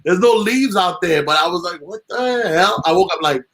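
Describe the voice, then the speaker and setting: A man's voice: high-pitched, moderate pace, slight background noise. Easygoing man co-hosting a chat show. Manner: relaxed, slangy, easygoing. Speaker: co-host. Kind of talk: casual chat.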